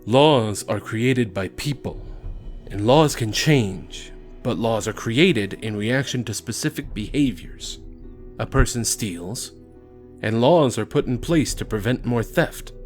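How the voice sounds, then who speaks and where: A man playing a stern, composed prison warden and mentor in an audio drama, speaking in a measured, formal, instructive way. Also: mid-range voice, lively intonation, faint music behind.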